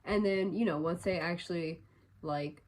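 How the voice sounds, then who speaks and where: A woman's voice: fairly low pitch, moderate pace, darkish tone. A young woman vlogger chatting casually, a little congested and stuffy-nosed, rambling with lots of fillers. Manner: rambling, filler-heavy talk. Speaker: crochet vlogger. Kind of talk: casual vlog chat.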